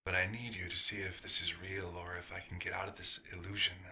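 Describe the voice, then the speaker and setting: A man's voice: sits low, little pitch movement, fairly quick, gravelly, bright, forceful tone, full character voice. A man playing a bewildered, hungry vampire doctor turned human, speaking formally and hesitantly in an audio roleplay. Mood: earnest, polite.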